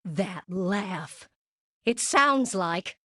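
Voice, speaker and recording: A woman's voice: medium pitch, animated, drawn-out words, sharp tone, no echo, clean studio sound, exaggerated voice. A woman playing a prim, fretful, motherly alien gem in a cartoon game, with a precise, dryly exasperated manner. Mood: wary. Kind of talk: animated cartoon game voice acting.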